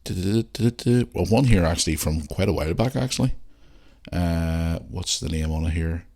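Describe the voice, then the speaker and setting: A man's voice: sits low, animated, moderate pace, gravelly, mellow. A man with a Northern Irish accent hosting a podcast, chatting casually and informally as he goes through listener messages. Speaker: podcast host answering listener questions. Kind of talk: casual chat and reading out messages.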